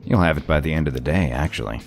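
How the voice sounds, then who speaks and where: A man playing a gruff, weary lawman in an audio drama, dry and blunt with a gravelly voice. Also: extremely low pitch, fast, soft background music. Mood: dry, stern.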